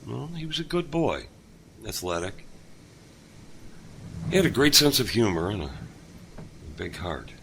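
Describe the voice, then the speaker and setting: An actor playing a kind, lonely older father who lost his son, speaking gently with halting pauses. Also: lowish voice, sing-song, creaky, slight background noise, heavily acted. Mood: wistful. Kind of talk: audio drama acting.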